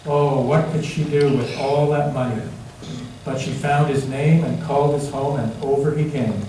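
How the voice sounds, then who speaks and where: A man reading a children's story aloud to all ages, expressive and dramatic, savoring the rhymes with emphatic pauses. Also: mid-range voice. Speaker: storyteller reading a picture book at a church. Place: large indoor church space, heard from a distance. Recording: echoey church hall, distant mic, faint noise.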